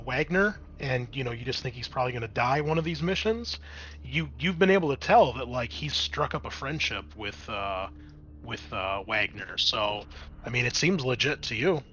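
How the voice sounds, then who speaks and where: A man's voice: medium pitch, a bit bright, muffled recording, a little hiss or hum. A man narrating a tabletop horror game as game master, improvising in a conversational, explanatory way.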